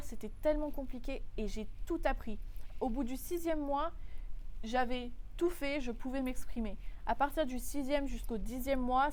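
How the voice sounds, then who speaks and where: Chatty young French woman, high voice. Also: slight background noise. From France. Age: young adult. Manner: chatty, repeats words for emphasis. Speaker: vlogger. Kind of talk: casual advice vlog.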